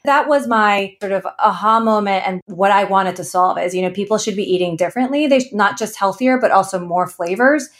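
A woman's voice: medium pitch, brisk, few pauses. A woman in her thirties, originally from Ecuador, telling her founder story earnestly in an interview and laughing now and then. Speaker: founder guest. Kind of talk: interview conversation.

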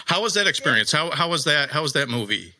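A man's voice: fairly high pitch, big pitch swings, quick pace, pushed and bright. A man hosting a show, asking questions in a loose, jokey way with fillers and laughs. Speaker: show host. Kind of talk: casual interview chat.

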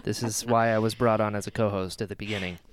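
A man's voice: lowish voice, level tone, fast talker, a little hiss or hum. A man co-hosting a podcast in casual banter, joking and emphatic, often repeating his phrases. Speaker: podcast co-host.

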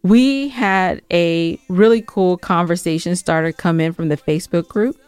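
Low-pitched woman podcast host, close dry sound. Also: moderate pace, darkish tone, clear, resonant tone, dry recording, soft background music. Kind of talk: casual banter and chat with co-host.

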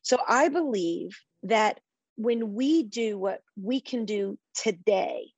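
A woman's voice: mid-range voice, expressive, takes it slowly, a little mellow, slightly rough, dry recording, dull audio. A middle-aged woman guest in a faith-based interview, speaking with passion in a preacher-like way and quoting scripture.

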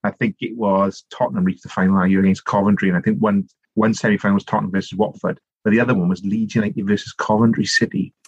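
A middle-aged man from South Wales with a Welsh accent, telling long animated stories as a podcast guest, full of restarts and asides. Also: on the low side, quick pace, hardly any pauses, breathy edge, highs cut off. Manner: animated storytelling, rambling with many restarts and "you know". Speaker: guest, author and politician. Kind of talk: conversational podcast interview.

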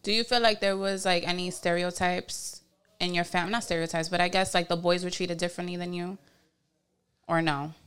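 A woman hosting a podcast, asking questions in a relaxed way and often murmuring agreement. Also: lowish voice, moderate pace, clean tone. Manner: relaxed interviewer, frequent affirmations. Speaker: podcast host. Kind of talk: casual chat.

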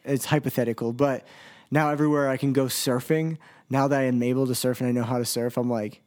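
A young man with a voice of middling pitch talks easily and casually as a podcast co-host. He teases his partner and rambles in a relaxed, joking way. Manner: laid-back, teasing, mimics his co-host in drawn-out voices.

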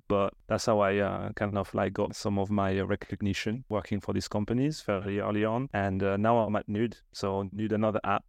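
A man in his thirties with a French accent, a native French speaker, talking casually as a podcast guest in a relaxed, rambling way. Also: fairly low pitch, creaky, dry recording, clean studio sound. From southern France. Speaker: podcast guest, marketing executive. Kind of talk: casual interview chat.

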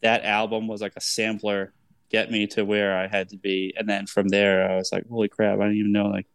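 A man's voice: on the low side, monotone, brisk, clean tone, dry recording. A man in his thirties hosting a podcast in a casual, chatty style. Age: 30s. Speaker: podcast host.

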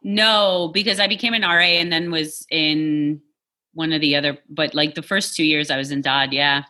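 A woman's voice: low-pitched, fairly even intonation, sharp tone. A woman who immigrated from Poland and grew up in New York, earnestly talking through her identity as a podcast guest. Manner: thoughtful, earnest, measured. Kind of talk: casual interview chat.

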